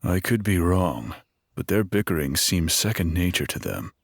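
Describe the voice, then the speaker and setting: Deep-voiced, courteous elf minstrel played by a man. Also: animated, normal speed, gravelly, no echo, studio quality, heavily acted. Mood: polite. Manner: hesitant, courteous. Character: polite, diffident male wood elf minstrel. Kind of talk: fantasy audio drama acting.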